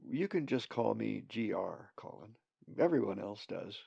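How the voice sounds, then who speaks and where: A man voicing a wise female great raven who leads the flock, calm and reassuring. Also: lowish voice, measured pace, gravelly, husky, dry recording, lo-fi sound, exaggerated voice. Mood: kindly.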